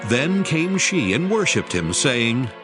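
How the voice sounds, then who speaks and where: A male narrator tells a scripture story in a scripted dramatic style. His mid-pitched voice rises and falls freely, with music clearly audible underneath. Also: sing-song, slow pace.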